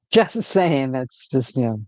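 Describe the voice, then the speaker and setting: A man hosting a casual livestream, rambling in a relaxed way with frequent fillers and restarts, talking from home. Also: mid-range voice, slow pace, dry recording. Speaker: livestream host.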